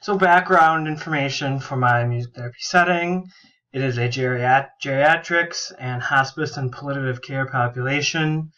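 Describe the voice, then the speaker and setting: A man's voice: mid-range voice, bright, forceful tone. Young man with a cold-worn voice. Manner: casual, halting, often restarting sentences. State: recovering from a bad cold. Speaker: music therapy student. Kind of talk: class presentation. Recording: dull lo-fi recording.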